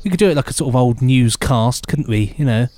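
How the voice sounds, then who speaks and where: Rambling podcast co-host, lively. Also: male voice, medium pitch, a little fast, dry recording. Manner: rambling, ad-libbing, playful. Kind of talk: jokey casual chat.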